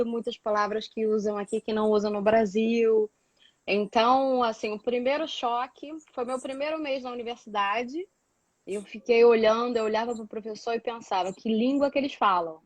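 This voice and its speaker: A woman's voice: fairly high pitch, steady tone, moderate pace, no room sound, rough recording. A Brazilian woman chatting casually and explaining things as a guest, repeating words for emphasis. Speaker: guest, doctoral student living in Portugal.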